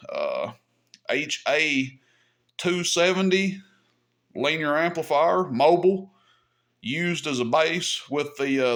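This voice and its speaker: A man's voice: mid-range voice, a bit bright. A man casually demonstrating equipment as a hobby host, talking in a folksy, easygoing way as he thinks aloud. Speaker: host of a CB radio hobby channel.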